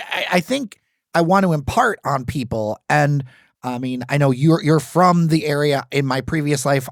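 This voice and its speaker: Podcast host: a mid-pitched man, earnest and emphatic, with a dry sound. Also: dry recording. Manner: earnest, emphatic, talks in long run-on sentences. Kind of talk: casual interview talk.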